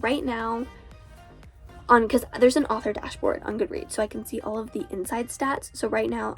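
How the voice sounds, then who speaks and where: A woman's voice: on the high side, gravelly, a little mellow. A teenage girl with a cold, sounding stuffy and nasal, chatting casually as an author and booktuber and filling her talk with "like". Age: teens. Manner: chatty, lots of fillers like "like". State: congested, stuffy nose from a cold.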